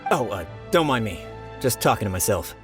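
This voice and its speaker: A man's voice: fairly low pitch, very animated, fairly quick, music in the background. An actor playing a preoccupied townsman in a video game, awkward and distracted, talking to himself. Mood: flustered.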